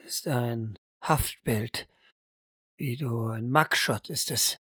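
A woman as game master, narrating an audio role-play atmospherically in measured, short phrases. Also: extremely low pitch, fairly bright tone, breathy edge, no room sound, studio quality.

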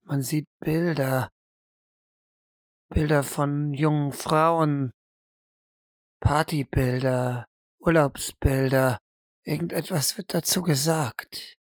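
A woman as game master, narrating an audio role-play atmospherically in measured, short phrases. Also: low-pitched, fairly even intonation, a little mellow, dry recording.

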